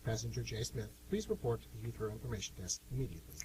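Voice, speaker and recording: A man's voice: mid-range voice, lively intonation, rapid-fire, close to an everyday voice. A man acting a formal airport announcer in a radio play, heard at a distance from the microphone. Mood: formal, impersonal. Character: formal airport announcer calling flights and passengers. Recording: distant mic with light hiss and hum.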